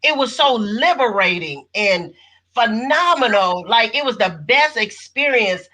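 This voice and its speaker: A woman in her fifties hosting a casual video call chat, animated and given to exclaiming. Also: fairly high pitch, a little slow. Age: 50s. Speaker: host.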